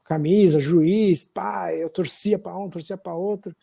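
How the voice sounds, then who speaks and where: A man in his forties with a Paulistano accent talks in a loose, meandering way, often tagging his sentences with little questions. His voice sits a bit high for a man but sounds warm and mellow. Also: a little slow, vocal fry, slightly rough. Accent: Paulistano Brazilian Portuguese. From São Paulo, Brazil. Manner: relaxed, rambling, with frequent tag questions. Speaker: podcast guest, musician. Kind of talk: casual storytelling chat.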